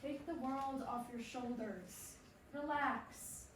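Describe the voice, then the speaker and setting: An actress playing a caring, firm wife who coaxes and reassures in a staged play reading. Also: female voice, high voice, slow, slight background noise. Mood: firm, soothing. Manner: coaxing, reassuring. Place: performance room, recorded from a distance. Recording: very echoey room, distant mic.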